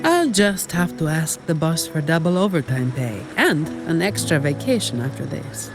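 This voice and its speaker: An actress voicing a cool, weary, dutiful young security operative in a video game, composed and dryly unimpressed. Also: female voice, deep voice, sing-song, normal speed. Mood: wry, weary. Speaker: employee of a powerful family leading an operation. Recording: studio voice under game music.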